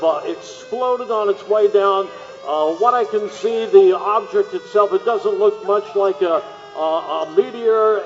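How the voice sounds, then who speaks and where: High-pitched, stammering male field reporter played by an actor. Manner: halting, stammering, agitated. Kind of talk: live on-scene radio report in an audio drama. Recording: dull phone report, long reverb, ambient noise.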